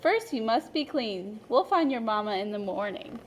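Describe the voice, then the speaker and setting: A woman's voice: on the high side, lively intonation, normal speed, slight background noise, close to an everyday voice. A woman voicing a fussy, tidy male rabbit who is easily flustered and keeps exclaiming in a storybook reading. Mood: fussing.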